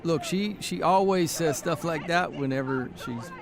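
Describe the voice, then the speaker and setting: An actor playing a weary, brooding newspaper columnist on the road in a radio drama. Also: male voice, measured pace. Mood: troubled. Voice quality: gravelly, low. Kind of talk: radio drama narration and dialogue. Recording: music underneath.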